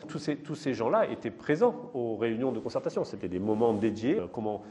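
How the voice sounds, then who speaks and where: A man's voice: medium pitch, very animated, fairly quick, warm tone, a little hiss or hum. A man giving explanatory interview testimony as a project consultant, with technical, methodical wording.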